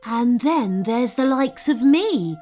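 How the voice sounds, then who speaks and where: Woman voicing a proud, gracious high-voiced unicorn. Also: expressive, slow pace, mellow, faint music behind. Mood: courteous.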